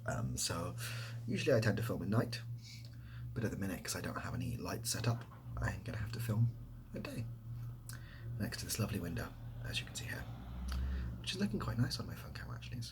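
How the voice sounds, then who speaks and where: A man chatting casually and softly, often nearly whispering, in a rambling, self-deprecating way as he answers questions. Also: deep voice, very animated, fast, pauses often. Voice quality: soft, breathy, often near whisper. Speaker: ASMR video creator. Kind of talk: softly spoken casual Q&A chat. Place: indoors next to a window.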